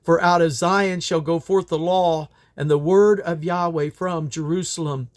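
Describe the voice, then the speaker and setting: Higher-voiced man solemnly reading scripture aloud. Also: steady tone, measured pace. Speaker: Bible reader. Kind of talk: scripture read aloud, King James English.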